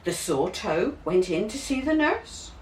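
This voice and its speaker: A woman narrating a children's story expressively, with dramatic, deliberate, drawn-out emphasis. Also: medium pitch, sing-song, darkish tone. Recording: roomy, distant mic, faint hiss.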